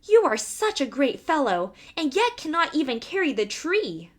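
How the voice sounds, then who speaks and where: A woman's voice: sits high, big pitch swings, normal speed, voice pushed up. A woman voicing a cocky, cunning and cheerful little man, a boastful tailor in a storybook reading. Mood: mocking. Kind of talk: storybook character voice.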